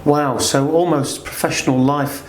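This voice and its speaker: A man's voice: on the high side, steady tone, measured pace, a little hiss or hum. Man interviewing in echoey room. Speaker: podcast host, interviewer. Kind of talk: interview questions and guest introduction. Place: indoor room at a company. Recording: roomy echo.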